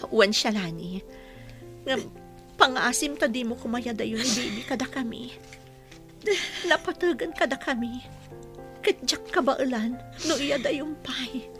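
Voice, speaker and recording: A woman's voice: a little high, measured pace, long pauses, a bit bright, soft background music. A woman with an Ilocano Filipino accent playing an earnest, pleading mother in a radio drama. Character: earnest, apologetic adoptive mother in a village drama.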